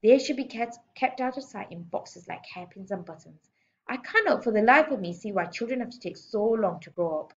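A woman's voice: a little high, animated, a little fast, highs cut off, pitched above the performer's own voice, lightly acted. A woman voicing a bullying giant headmistress in a storybook, barking and snorting her insults with sarcastic scorn. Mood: haughty. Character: giant, bullying, sarcastic middle-aged female school headmistress. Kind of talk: audiobook storybook character voice.

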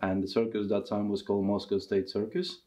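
A man in his thirties with a Russian accent, talking casually in halting English during a seated interview in a costume workshop. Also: on the low side, level tone, dark tone. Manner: halting non-native English with restarts. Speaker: circus performer and tent master.

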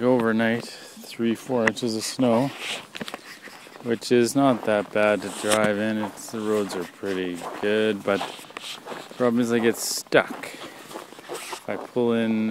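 A man talking casually off the cuff as an amateur mechanic, in a plain-spoken, halting, matter-of-fact way while filming with a handheld camera. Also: lowish voice, background noise. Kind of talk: casual off-the-cuff how-to narration. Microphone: handheld camera, speaker behind it.

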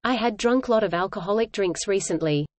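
A woman reading an English lesson dialogue aloud in a measured, even way, repeating each line for learners. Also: mid-range voice, dry recording.

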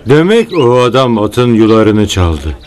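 An actor playing an eager, obliging companion in a dubbed cartoon, with an expressive manner. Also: male voice, fairly low pitch, a little slow. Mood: helpful. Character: eager, obliging male companion sent on errands.

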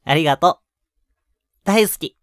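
Man playing a slow, tender young boyfriend. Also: on the high side, no echo, clean studio sound, close to an everyday voice. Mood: happy, affectionate. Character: affectionate, clingy young boyfriend, tender and longing. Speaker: voice actor. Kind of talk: intimate boyfriend roleplay audio drama, addressed to the listener.

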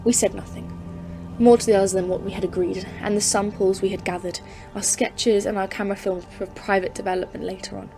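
A woman's voice: medium pitch, little pitch movement, a little fast, vocal fry, close to an everyday voice. A woman playing a grave, awed professor in an audio play, speaking formally and intensely as a literary horror narrator. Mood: grave, haunted. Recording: music under the voice. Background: dramatic music.

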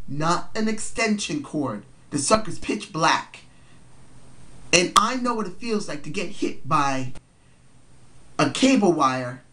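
A young man talks straight into his webcam from a cramped bedroom, his voice stuffy and congested from a cold. He sits fairly high in pitch for a man. He lays out his opinions earnestly and circles back to restate them for emphasis. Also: fairly bright tone. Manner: earnest, repeats points for emphasis. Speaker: vlogger. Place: small cluttered bedroom indoors. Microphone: built-in webcam mic.

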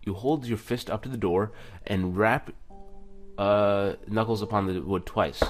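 A man's voice: lowish voice, measured pace, over music. A man hosting a gaming video in a casual, chatty, self-deprecating way, reading text aloud with stumbles. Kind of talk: casual let's-play commentary with reading aloud.

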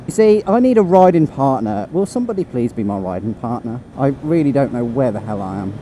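Man on a motorbike, rambling commentary, mid pitch, wind and engine roar behind. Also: sing-song, brisk, warm tone. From England. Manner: chatty, rambling, chuckles to himself. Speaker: motorcycle vlogger. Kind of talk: casual riding commentary. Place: on a motorcycle on country roads. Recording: wind and engine noise from riding.

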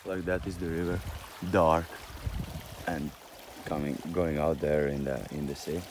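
Slow-talking man vlogging over loud noise. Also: rumbling low, level tone. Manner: halting, many restarts and small laughs. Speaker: fishing vlogger. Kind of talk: casual self-filmed vlog. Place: driving a car, then outdoors by a river. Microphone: phone camera. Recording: loud car and outdoor noise.

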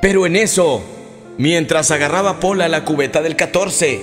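A man's voice: a little high, normal speed, long reverb tail. A man giving melodramatic scripted narration as the narrator of a comic radio soap. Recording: music behind the voice.

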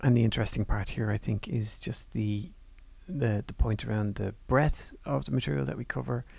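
A male lecturer talks through his slides in an easy, conversational way, pausing on fillers and restarting sentences. His voice is heavily gravelly with a lot of fry. It was recorded very dry and close, with no room sound. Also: medium pitch, darkish tone, no room sound. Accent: non-Northern Irish. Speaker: university course lecturer. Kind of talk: presenting over slides.

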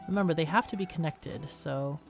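A woman gives casual gameplay commentary in a low voice over light music. She thinks out loud and explains her choices as host. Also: a little fast. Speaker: gaming video host.

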